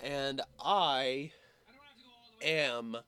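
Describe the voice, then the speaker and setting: Slow-talking young man with a sore throat. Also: mid-range voice, bright, forceful tone. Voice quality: slightly rough, hoarse voice. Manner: rambling, halting, with many fillers and restarts. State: sick, throat sore from coughing. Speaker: YouTuber. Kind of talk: casual vlog update.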